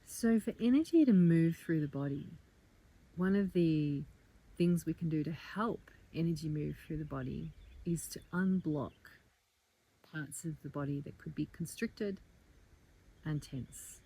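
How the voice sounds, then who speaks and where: A woman teaches a guided meditation in a slightly low, very mellow voice. She is calm and gentle, inviting the listener along. Her phrases are measured and leave room for pauses. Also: slightly rough, low-quality recording. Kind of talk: guided meditation, soft slow instruction.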